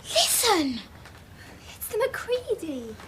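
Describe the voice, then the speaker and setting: A woman's voice: very high-pitched, sing-song, takes it slowly, over loud music, exaggerated voice. A woman playing a small, eager, wondering girl in a radio drama, with a childlike delivery. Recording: loud ambient noise, slightly distant.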